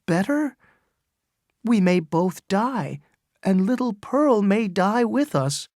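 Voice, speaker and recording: A storyteller voicing a frightened young mother who answers in a hurried, pleading way. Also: medium pitch, sing-song, darkish tone, no room sound, studio quality, voice pushed up. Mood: anxious.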